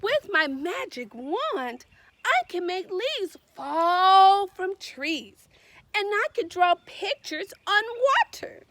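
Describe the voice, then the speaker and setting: Woman playing a high, boastful little fairy girl. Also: very animated, slow pace, fairly bright tone, no echo, heavily acted. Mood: matter-of-fact, boastful. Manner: childlike, theatrical, proud, emphatic. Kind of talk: picture-book read-aloud.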